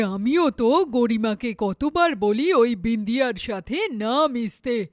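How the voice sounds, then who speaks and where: A woman's voice: on the high side, no echo, clean studio sound. A woman voicing a stern, snobbish middle-aged mother in a cartoon story, scolding and emphatic.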